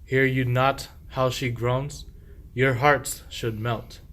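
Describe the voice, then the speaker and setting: A man playing a sly husband feigning innocence and hospitality, speaking measured archaic rhymed verse in a radio play. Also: medium pitch, level tone, fairly bright tone. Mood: pleading innocence.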